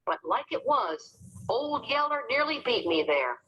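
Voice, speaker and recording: A woman narrating a storybook as an expressive, dramatic read-aloud for children. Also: high voice, measured pace, breathy edge, lo-fi sound.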